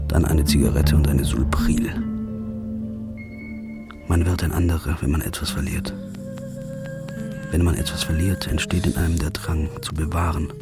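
A man narrating in the first person in an audio drama, hushed and brooding. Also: very deep voice. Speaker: narrator and lead actor.

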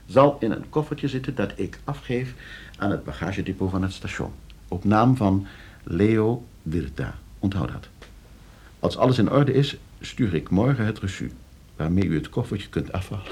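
A man's voice: fairly low pitch, animated, normal speed, a little mellow, natural voice. An actor playing a polite, scheming professor in a radio play, precise in his speech but prone to fluster. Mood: coolly instructing. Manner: polite, precise when instructing, stammering when questioned. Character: scheming, flustered professor plotting against his uncle for inheritance.

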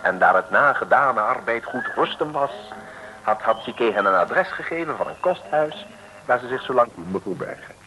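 A man's voice: mid-range voice, lively intonation, moderate pace, lightly acted. A male narrator of an old radio serial, telling the story in a chatty, wry way straight to the listener. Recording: old radio recording with faint noise.

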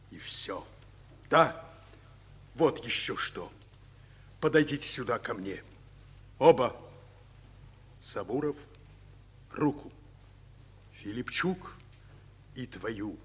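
A man's voice: mid-range voice, fairly bright tone, breathy edge, exaggerated voice. An actor playing a wounded Soviet colonel in a radio play, fatherly and commanding, with old-fashioned endearments. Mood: solemn, fatherly. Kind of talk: Russian radio play acting.

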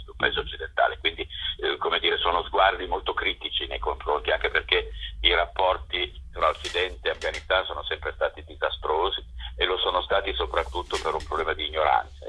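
Theatre director guest calling in; low, breathy voice, halting delivery, phone-quality audio. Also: male voice, sharp tone. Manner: hesitant, repeats words while searching. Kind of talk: radio interview by phone. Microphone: telephone. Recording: tinny phone line.

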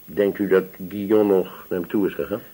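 A man's voice: sits low, steady tone, fairly quick, mellow, slightly rough, rough recording. A man acting an obliging, gossipy village police sergeant in a radio drama, chatting deferentially with small hesitations. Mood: confiding.

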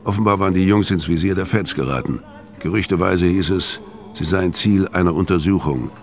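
Very deep-voiced, deadpan hitman. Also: brisk, soft background music. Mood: grim, wary. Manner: terse, deadpan. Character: hard-boiled middle-aged hitman, bitter, violent, world-weary loner. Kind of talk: first-person noir narration and terse dialogue in radio play.

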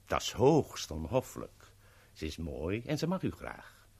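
An actor in a 1970s radio play voicing a smooth, sly henchman who negotiates with polite, teasing mock courtesy. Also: sits low, wildly swooping pitch, unhurried, darkish tone, heavily acted. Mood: mock regretful. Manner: polite, formal, teasing understatement.

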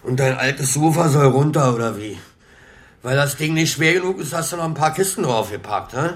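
An actor playing a cheeky, wisecracking furniture mover who talks colloquially in a radio crime play. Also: male voice, a little high, expressive, fairly quick, in a large hall, close to an everyday voice. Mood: needling. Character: cheeky, teasing furniture-moving mate. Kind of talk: naturalistic radio play dialogue.

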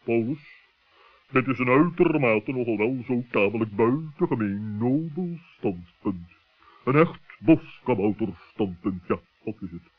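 A man's voice: mid-range voice, expressive, unhurried, slightly rough. A man playing a pompous, wary owl with wordy phrasing in a children's radio play. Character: pompous, wary male owl, friend of gnome. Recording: muffled old narrow-band radio recording.

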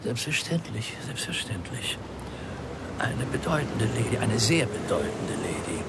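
An actor playing a mild, evasive, dignified undertaker of about sixty in a radio play, speaking with formal, unctuous funeral-parlour politeness. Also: male voice, lowish voice, sing-song, measured pace, very noisy. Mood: solemn, unctuous.

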